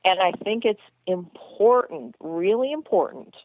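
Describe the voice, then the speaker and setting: A woman's voice: medium pitch, measured pace, no room sound. A woman co-hosting a radio show, chatting earnestly about basketball with many restarts and repeated words. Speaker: radio co-host, basketball commentator. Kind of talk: casual radio chat. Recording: dry tinny phone line.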